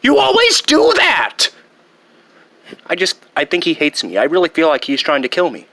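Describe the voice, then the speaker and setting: A man's voice: fairly high pitch, wildly swooping pitch, moderate pace, bright, forceful tone, full character voice. A man voicing a whiny, sullen teenage son of a villain in a comic film impression. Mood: hurt, sullen. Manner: whiny, complaining.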